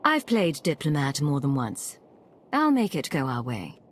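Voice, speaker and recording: A woman playing a composed, resolute Imperial agent commander in a video game, speaking in a measured, firm manner. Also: deep voice, huge pitch swings, slight background noise, exaggerated voice.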